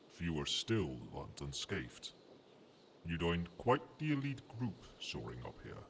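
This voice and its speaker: A man voicing a proud, possessive dragon with formal, haughty, measured speech in an ASMR roleplay. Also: low voice, slight background noise. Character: proud, imperious male dragon, possessive but grudgingly protective.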